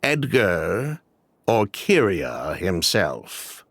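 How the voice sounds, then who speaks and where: A slick middle-aged ex-con, who talks with a hugely rising and falling, sing-song lilt and brushes things off. Evasive and chatty, in a dry studio game recording. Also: male voice, low-pitched, huge pitch swings, very slow, a bit bright, breathy edge, no room sound, heavily acted. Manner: evasive, chatty, with dismissive laughs. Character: smooth middle-aged male ex-perfume swindler. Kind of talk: video game voice acting.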